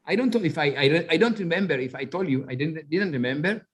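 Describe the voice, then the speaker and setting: A middle-aged rabbi and author from Ethiopia, speaking with an Ethiopian accent, tells his life story in an interview, haltingly and with many fillers as he searches for words. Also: fairly high pitch, expressive.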